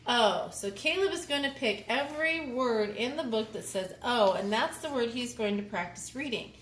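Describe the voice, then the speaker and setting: A woman giving an expressive picture-book read-aloud, pausing to explain things to young children. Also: fairly high pitch. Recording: roomy echo with faint background noise.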